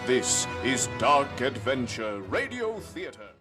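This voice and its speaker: Theatrical 1930s radio announcer over music. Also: male voice, mid-range voice, big pitch swings, takes it slowly. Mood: grand, mysterious. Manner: theatrical, portentous. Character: grandly dramatic male 1930s radio mystery announcer.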